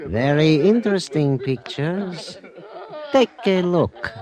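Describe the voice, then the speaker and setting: A sly, wheedling street peddler, from old-time radio drama. He draws his words out slowly and insinuatingly in a fairly high voice, amid a busy crowd. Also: animated. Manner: insinuating, drawn-out. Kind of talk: 1940s radio drama acting.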